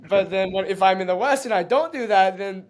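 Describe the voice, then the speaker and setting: Man, interview guest, slightly higher voice, halting and thoughtful, restarts often. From born in China, raised in Canada. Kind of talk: reflective conversational interview.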